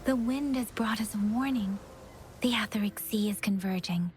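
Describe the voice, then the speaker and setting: A woman playing a calm, caring young female scout in a video game, soft-spoken, measured and polite. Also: medium pitch, slight background noise, close to an everyday voice. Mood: calm, serious. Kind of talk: video game character voice acting. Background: background game music.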